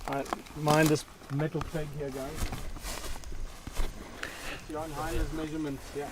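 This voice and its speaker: Distant, slightly high-voiced casual man. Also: flat delivery, unhurried. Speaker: tour participant taking pictures. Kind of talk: casual off-camera remarks. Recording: quiet, distant from the mic.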